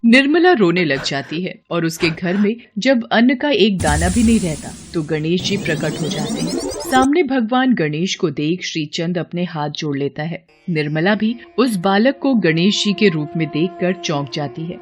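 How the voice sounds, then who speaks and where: A woman's voice: lowish voice, expressive, normal speed, music in the background. A woman narrating a scripted devotional story as a storyteller.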